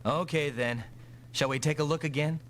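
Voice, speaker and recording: An actor playing a calm, determined male mech pilot in an anime-style English video game dub. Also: fairly high pitch, normal speed, slight background noise, natural voice. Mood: calm, matter-of-fact.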